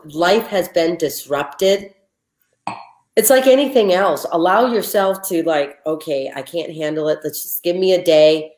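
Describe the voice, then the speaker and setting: A woman in her fifties with a lower voice, hosting a casual live chat from her kitchen over an earbud mic, earnest and chatty, often restarting her sentences. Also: darkish tone, reverberant. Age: 50s. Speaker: host. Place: home kitchen. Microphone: earbud headset on a video call.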